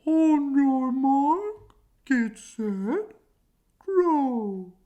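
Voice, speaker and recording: Storybook owl, high and loud, stretching every word as a race starter. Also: female voice, expressive, muffled recording, heavily acted. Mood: announcing. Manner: loud, very drawn-out announcing.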